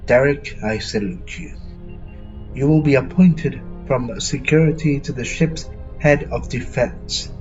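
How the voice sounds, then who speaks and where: A man's voice: medium pitch, measured pace, darkish tone, lightly acted. A man playing a stately ship's commander with a formal, pompous manner in a fan audio drama. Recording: dull lo-fi sound, faint music.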